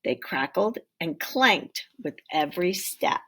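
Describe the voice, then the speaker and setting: Female teacher for children's read-aloud narration: very animated intonation, husky and creaky. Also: lowish voice, slow.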